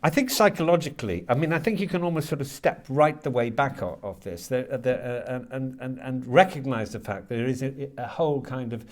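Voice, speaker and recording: A man answering interview questions as a writer and lecturer, thinking aloud haltingly and often repeating words as he works out his ideas. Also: mid-range voice. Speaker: guest, creative writing lecturer and writer.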